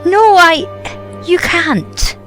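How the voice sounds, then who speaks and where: A woman playing a gentle, anxious older motherly witch in an amateur audio drama. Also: very high voice, animated, very slow, faint music behind, exaggerated voice. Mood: alarmed.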